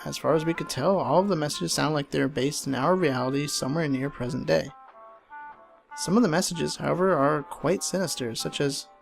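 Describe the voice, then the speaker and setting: A man reading a scripted horror story in a measured, dramatic storyteller's delivery. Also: fairly high pitch, gravelly. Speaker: narrator reading online horror stories. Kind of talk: scripted creepypasta narration. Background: game music under the voice.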